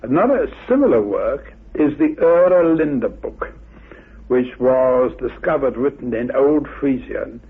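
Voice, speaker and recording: A man speaking as an interviewed expert in a courteous, formal, scholarly way, politely firm in his judgements, with a gravelly, husky voice. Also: medium pitch, animated, slow pace. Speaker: interviewed expert on Atlantis theories. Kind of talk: radio interview, measured scholarly exposition. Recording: muffled, band-limited radio recording.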